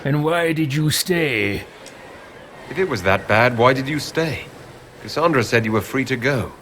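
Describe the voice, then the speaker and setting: A man's voice: mid-range voice, a bit bright, a little hiss or hum, lightly acted. A man voicing an irritable, self-important fantasy hero in a video game roleplay, imperious and contemptuous. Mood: demanding. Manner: imperious, curt, slow and contemptuous.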